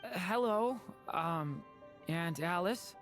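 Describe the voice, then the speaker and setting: An actor as a soft-spoken dragon boy, youthful, shy, polite, lively intonation, anime game style, music behind. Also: medium pitch, takes it slowly. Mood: curious, timid. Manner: hesitant, soft-spoken, apologetic. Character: gentle, shy, polite young dragon boy in human form.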